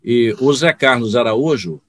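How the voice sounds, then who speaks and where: A man's voice: medium pitch, lively intonation, measured pace, no room sound, studio quality. A man who works as a sports announcer, telling anecdotes about fellow radio men in a conversational way, with some hesitant starts. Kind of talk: casual chat among broadcasters.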